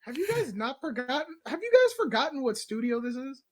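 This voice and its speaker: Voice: high-pitched, sing-song, a little fast, darkish tone. A podcast panelist trading casual banter with friends.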